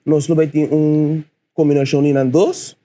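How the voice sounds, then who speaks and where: A man's voice: fairly high pitch, flat delivery, normal speed, dark tone. A man in his twenties, a poet guest on a radio show, answering hesitantly and searching for words. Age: 20s. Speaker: spoken word poet guest. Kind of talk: casual interview answers.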